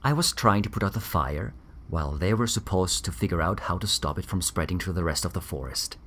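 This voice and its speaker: An actor playing a weary, defensive ex-army doctor in a grave, measured audio drama interview. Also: male voice, low voice, fairly bright tone. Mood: defensive, frustrated.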